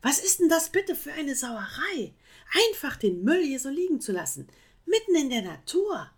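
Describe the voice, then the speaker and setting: A woman voicing a fussy, indignant female koala who scolds in a shrill, insistent way. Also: big pitch swings, unhurried, a bit bright, husky, exaggerated voice. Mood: upset.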